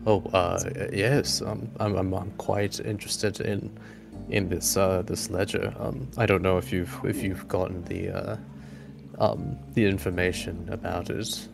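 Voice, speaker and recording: A man puts on a bookish bugbear academic for a tabletop game. The voice is low and creaky, with a halting, courteous, scholarly delivery. Music plays clearly behind it. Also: little pitch movement, exaggerated voice. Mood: hesitant. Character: absorbed, hesitant bugbear anthropologist, a bookish man.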